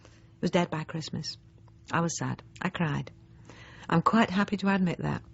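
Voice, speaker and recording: Radio monologue: snobbish middle-aged housewife, self-righteous and prim, husky with a creaky edge, on a slightly dull recording. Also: female voice, fairly low pitch, moderate pace. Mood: self-satisfied regret. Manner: prim, pointed, self-justifying, with emphatic swoops. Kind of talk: radio drama monologue, confiding.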